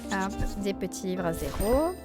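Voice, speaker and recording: A woman's voice: mid-range voice, animated, moderate pace. A woman streamer giving casual gameplay commentary, chatty and thinking aloud as she plays. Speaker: gaming streamer. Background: faint game music.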